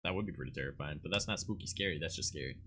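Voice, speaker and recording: A man's voice: extremely low pitch, racing, a bit bright. A man with a Northern Ontario Canadian accent, hosting a stream with joking, crude banter. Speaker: streamer host. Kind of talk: casual stream chat.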